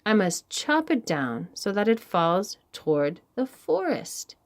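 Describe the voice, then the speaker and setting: A woman voicing a humble, kind young man in a storybook, earnest, polite and expressive. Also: mid-range voice, slow pace. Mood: polite, troubled.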